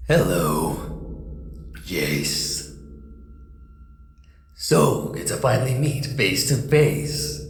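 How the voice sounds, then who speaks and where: A man's voice: lowish voice, roomy sound, in a large hall, slight background noise, voice pushed down. A man voicing a theatrical male game villain who taunts with mocking, self-satisfied courtesy. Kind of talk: dramatic reading of a game character.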